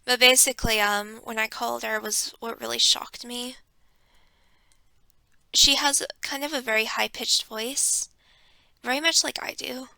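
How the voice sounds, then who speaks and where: A young woman quietly telling a personal story in a home vlog, keeping her voice hushed, with frequent ums and pauses, close to a desk microphone. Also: a little high, fairly even intonation, creaky, clear, resonant tone, no echo. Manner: hushed and reflective, with many ums. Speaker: vlogger.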